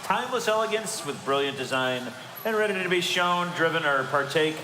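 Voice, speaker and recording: A man presenting auction lots, reading out the details in a brisk, polished way. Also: fairly high pitch, lively intonation. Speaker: auction announcer. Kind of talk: lot presentation. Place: large auction hall. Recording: echoey hall with clear noise.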